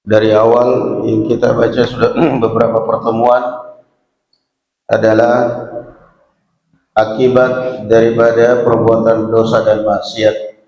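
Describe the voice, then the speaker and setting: Slow Indonesian preacher in a reverberant hall. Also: male voice, on the low side, level tone, darkish tone, muffled recording, slight background noise. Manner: measured, deliberate, phrase by phrase with pauses. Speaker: Islamic preacher and teacher. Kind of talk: religious lecture, reading Arabic text aloud then explaining it. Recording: very echoey hall with long reverb.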